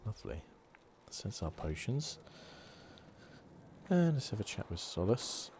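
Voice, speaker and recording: A man softly commentating a video game playthrough in an ASMR style. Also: on the low side, sing-song, a little slow, noisy background. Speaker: gaming channel host.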